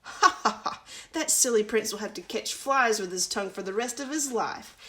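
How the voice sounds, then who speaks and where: A woman acts out a wicked storybook fairy. She is spiteful and gloating, with a loud, self-satisfied delivery. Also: medium pitch, lively intonation, moderate pace.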